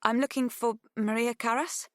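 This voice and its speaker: A woman's voice: a little high, fairly even intonation, moderate pace, dry recording, studio quality, pitched above the performer's own voice, natural voice. Woman playing a nervous polite teenage girl, lifted voice. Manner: hesitant, courteous, stammering. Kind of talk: audiobook character dialogue.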